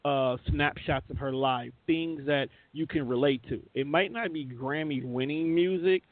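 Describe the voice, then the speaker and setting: A man's voice: mid-range voice, no room sound. Man debating over a thin phone-like line. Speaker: radio show co-host. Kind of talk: casual opinionated talk.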